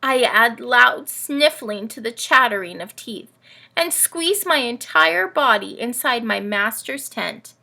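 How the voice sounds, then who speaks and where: A woman plays a vain, melodramatic storybook camel who feels very sorry for himself. The voice is bright but heavily creaky, and every phrase is drawn out slowly and theatrically. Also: fairly high pitch, animated, pitched above the performer's own voice, heavily acted. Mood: sorrowful, weepy. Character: self-pitying, melodramatic, vain male camel of the Nativity.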